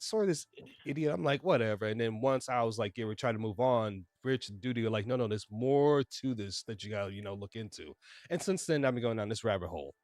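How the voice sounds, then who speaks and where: A man's voice: medium pitch, darkish tone, no echo, clean studio sound. A man hosting a live stream, giving casual, rambling reaction commentary and talking to his chat. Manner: rambling, talks back to chat. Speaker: streamer host.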